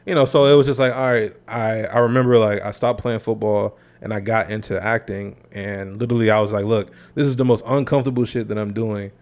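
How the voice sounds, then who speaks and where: A man's voice: fairly low pitch, fairly even intonation, brisk, few pauses, a little mellow. A young man, a guest actor, talking casually and reflectively about his past. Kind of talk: casual chat.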